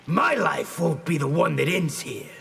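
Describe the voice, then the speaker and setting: Bitter military officer, threatening, raised pitch, gravel edge, video game. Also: male voice, big pitch swings, a little slow, a bit bright, full character voice. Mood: bitter hostility. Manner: threatening, accusing delivery. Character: hostile, bitter military second-in-command, hot-tempered. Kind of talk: video game fighter acting. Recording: game dialogue with music behind.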